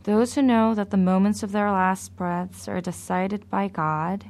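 A woman preaching a sermon in a slow, measured, deliberate way. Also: medium pitch, fairly even intonation, darkish tone.

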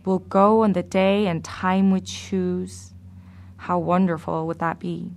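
A woman preaching a sermon in a slow, measured, deliberate way. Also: fairly low pitch, level tone, creaky.

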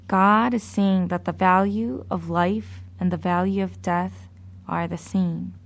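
A woman preaching a sermon in a slow, measured, deliberate way. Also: on the low side, steady tone, gravelly.

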